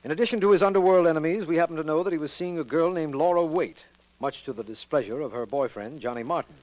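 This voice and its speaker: An actor playing a busy, matter-of-fact district attorney in a 1940s radio drama. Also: male voice, a little high, fast talker, darkish tone, dry recording, close to an everyday voice, poor audio. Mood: urgent, matter-of-fact.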